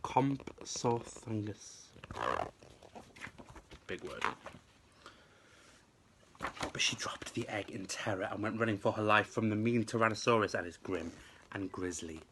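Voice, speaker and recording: Male teacher as storyteller: lowish pitch, bright forceful tone, rhythmic and expressive for children. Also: unhurried, pauses often, a little hiss or hum. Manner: emphatic, rhythmic delivery of rhyme. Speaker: teacher reading a story to pupils.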